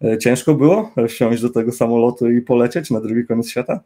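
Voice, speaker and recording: A man's voice: medium pitch, a little fast, mellow. A young man hosting an interview over a video call with a headset, asking long, winding questions with fillers. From Poland. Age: young adult. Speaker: interview host. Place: indoor room with gaming chair.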